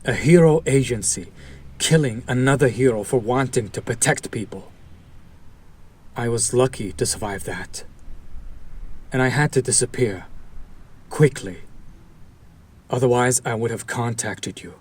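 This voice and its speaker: A man playing a fallen hero turned villain, an idealistic, bitter and protective former partner, speaking earnestly with dramatic pauses in an audio roleplay. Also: medium pitch, husky. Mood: grave, bitter. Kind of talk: dramatic audio roleplay monologue addressed to listener.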